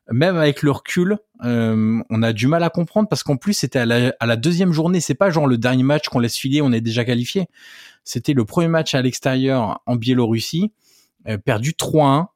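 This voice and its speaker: A man's voice: medium pitch, darkish tone, no echo, studio quality. A man hosting a football podcast who lays out the episode plan in an orderly way. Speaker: podcast host.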